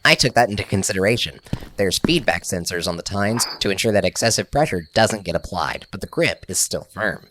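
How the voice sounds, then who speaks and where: A man's voice: low voice, expressive, fairly quick, a bit bright, slight background noise. A man playing a cocky, easily exasperated inventor in an audio drama, casual, glib and given to grumbling. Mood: enthusiastic, proud. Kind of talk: scripted audio drama acting.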